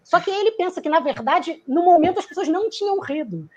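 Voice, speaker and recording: High-voiced woman musing on laughter. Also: lively intonation, fast. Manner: musing, searching for words, acts out quoted lines. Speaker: theater performer giving a talk. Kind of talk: reflective talk on laughter.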